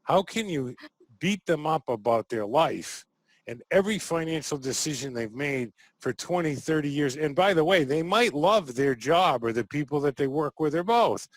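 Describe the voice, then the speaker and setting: A man hosting a casual interview, chatty and quick to laugh, often ending thoughts with a questioning "right?". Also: a little high, animated, no echo. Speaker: interview host.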